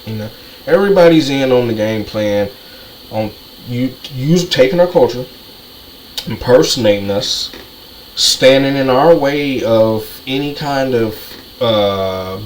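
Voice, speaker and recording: A man's voice: mid-range voice, expressive, slow pace, rough recording. Ranting man with faint noise behind. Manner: ranting, repetitive, profane. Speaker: commentator. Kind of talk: heated monologue.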